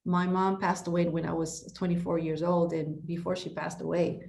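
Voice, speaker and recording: A woman's voice: lowish voice, little pitch movement, normal speed, creaky, darkish tone. Passionate woman. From Ecuador. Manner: passionate, emphatic, many restarts and "you know"s. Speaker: podcast guest, real estate broker. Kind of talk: conversational interview.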